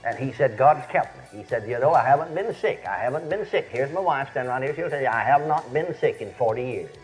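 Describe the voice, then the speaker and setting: A man's voice: medium pitch, expressive, brisk, faint music behind. A man in his fifties with a Texan accent preaches a folksy, storytelling sermon, repeating phrases for emphasis and checking in with his listeners. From Texas, United States. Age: 50s. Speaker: preacher and Bible teacher. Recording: dull, lo-fi sermon recording.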